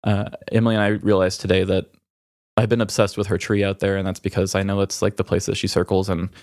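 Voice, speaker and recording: Male podcast host, low register, raw and reflective, halting delivery through grief, dry recording. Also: flat delivery, darkish tone, dry recording. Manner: halting, reflective, emotional, with pauses. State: grieving. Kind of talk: raw, candid personal conversation.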